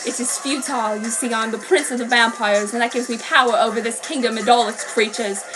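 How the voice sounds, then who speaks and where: A woman's voice: on the high side, fairly even intonation, brisk, natural voice, rough recording. A woman voicing an arrogant male vampire king, four hundred years old, in a theatrical, lordly, gloating manner during a fan fiction dramatic reading. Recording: background soundtrack music.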